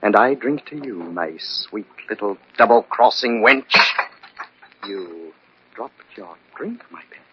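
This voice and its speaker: Murderous bitter husband, swooping intonation, theatrical menace, vintage radio play, dull recording. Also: male voice, fairly low pitch, expressive, slow pace. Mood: menacing, taunting. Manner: theatrical, taunting, swinging from quiet menace to outbursts. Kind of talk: old-time radio drama acting. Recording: muffled, noisy old radio recording.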